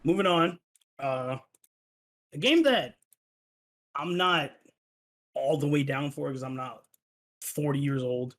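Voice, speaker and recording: A man's voice: on the high side, slow, long pauses, fairly bright tone. A man hosting a casual gaming podcast, talking loosely with lots of fillers, restarts and swearing. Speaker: podcast host.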